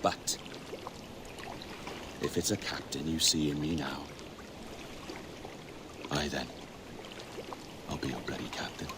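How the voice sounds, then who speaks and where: A man's voice: a bass voice, fairly even intonation, slow, pauses often, background noise. An actor playing a pirate captain giving an oratorical, theatrical speech to his crew. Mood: rousing. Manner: oratorical, theatrical, swelling to shouts. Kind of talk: video game cutscene acting. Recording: game audio with sea ambience.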